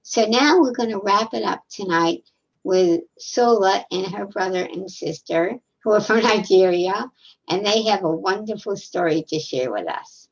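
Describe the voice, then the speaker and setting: A woman's voice: mid-range voice, unhurried, gravelly. A woman hosting a storytime over a video call, introducing the next readers with gracious, conversational remarks. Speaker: storytime host. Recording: muffled video-call audio.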